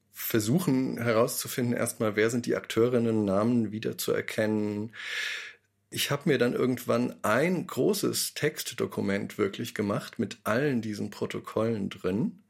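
A man's voice: medium pitch, creaky, slightly rough. A man giving a reflective, informal interview statement about his process as an author. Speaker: radio play author explaining his process.

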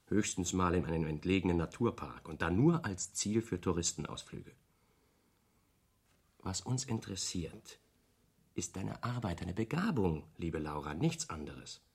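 An actor playing a calm, elitist devil in a radio drama, negotiating in a measured, formal, reasoning manner. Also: male voice, deep voice, slightly rough, exaggerated voice.

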